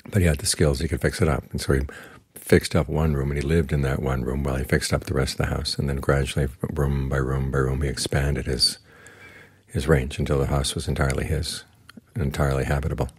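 An older man, a Buddhist monk, giving a slow, calm, plainspoken guided meditation talk. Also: a bass voice, husky, no echo. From United States.

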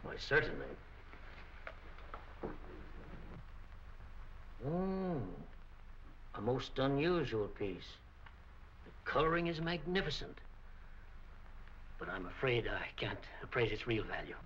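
A smooth, evasive shop owner in an old crime film. His voice is crisp-edged and forward, his manner polished and formal, on a muffled soundtrack. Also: mid-range voice, sing-song, a little slow, pauses often, fairly bright tone, a little hiss or hum. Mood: polite. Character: smooth, evasive middle-aged store proprietor. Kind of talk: 1940s film acting.

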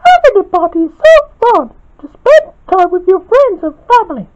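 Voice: extremely high pitch, sing-song, moderate pace, a little mellow, pitched above the performer's own voice. A performer voicing an earnest, exclaiming friend who hosts and scolds in a children's story. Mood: scolding, earnest. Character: high-voiced, welcoming but scolding friend Spinel. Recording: dull lo-fi recording.

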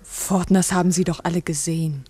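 An actress playing an earnest village schoolteacher in a 1960s radio drama, asking careful, polite, probing questions. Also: female voice, on the low side, fairly even intonation, fairly quick, dark tone, breathy edge, dry recording. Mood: puzzled, curious.

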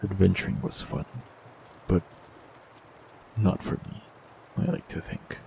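A man performing a scripted fantasy audio roleplay, speaking calmly and gently with soft teasing, close to the mic. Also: deep voice, unhurried, vocal fry, slight background noise, rough recording. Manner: calm, gentle, softly teasing.

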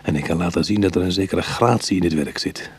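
A retired cat burglar in a radio drama, proud and wry. His middle-aged voice sits fairly low and has a husky grain. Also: fast, darkish tone. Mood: brooding, wry. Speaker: actor and narrator in a radio play. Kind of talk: Dutch radio drama acting.

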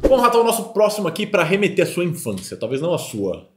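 Quick-talking Brazilian man in casual chat. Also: high-pitched, very animated, roomy sound, music in the background. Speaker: food show host. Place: indoor studio set at a table. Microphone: desk microphone on the table.

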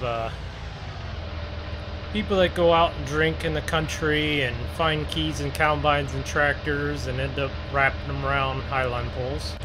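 A man giving casual role-play gameplay commentary, thinking aloud in an unhurried way. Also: a little high. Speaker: gaming video host. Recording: engine and vehicle noise behind. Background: game vehicle engine sounds.

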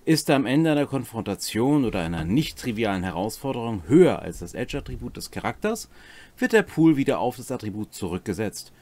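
A man's voice: medium pitch, big pitch swings, fairly quick, a little mellow. A middle-aged man hosting a rules explainer, presenting with casual asides. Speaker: host of a tabletop game rules series. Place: small cluttered home room, indoors.

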